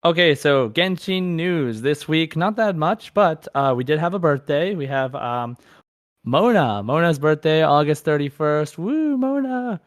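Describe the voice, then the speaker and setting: A man's voice: a little high, animated, a little slow, dry recording. A man hosting a gaming podcast, chatting casually and informally with a fan's enthusiasm. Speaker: podcast host.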